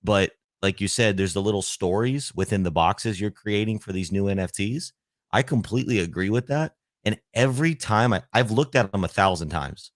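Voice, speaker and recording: A man's voice: fairly low pitch, normal speed, no echo, clean studio sound. A man hosting a casual podcast interview, enthusiastic and addressing viewers directly. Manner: enthusiastic, praising, talks to viewers. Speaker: podcast host.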